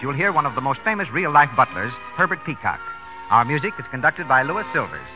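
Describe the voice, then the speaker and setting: An American man announcing and pitching for a sponsor in polished, scripted 1930s radio style. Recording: dull vintage radio broadcast recording. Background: music.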